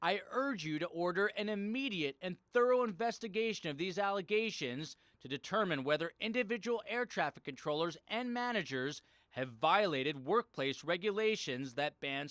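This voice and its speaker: A man's voice: high-pitched, animated, normal speed, fairly bright tone, no room sound. A man narrating a scripted investigative television news report as a reporter.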